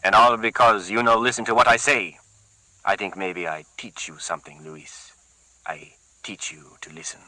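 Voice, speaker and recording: Man voicing a low, slow-talking Puerto Rican gangster with a sharp, dry tone and broken English with a Puerto Rican Spanish accent. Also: no room sound, poor audio. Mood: threatening. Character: Puerto Rican man, brooding, menacing gang leader.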